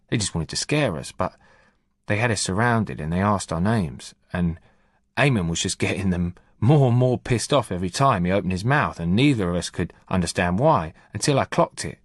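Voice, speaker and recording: A man's voice: sits low, animated, moderate pace, no room sound, studio quality. A man voicing a cocky, streetwise army corporal, telling a story in a brash, swaggering way. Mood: boisterous.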